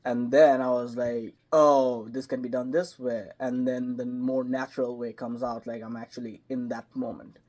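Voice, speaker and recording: A man voice actor chatting casually in an interview, telling stories with lively jumps in pitch and volume and loose, hesitant phrasing. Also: normal speed, few pauses. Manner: animated storyteller, jumps higher and louder when excited. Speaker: voice actor guest.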